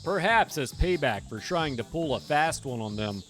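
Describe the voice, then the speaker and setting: A woman streamer reading a visual novel aloud with expressive, dramatic storytelling. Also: low voice, normal speed. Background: game music.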